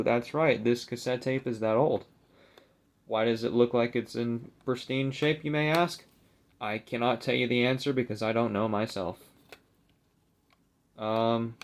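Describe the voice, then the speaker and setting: A young adult man chatting casually and unscripted for a video, rambling and hesitant, with a self-deprecating manner. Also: mid-range voice. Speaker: video creator showing a collectible. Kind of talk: casual unscripted vlog chat.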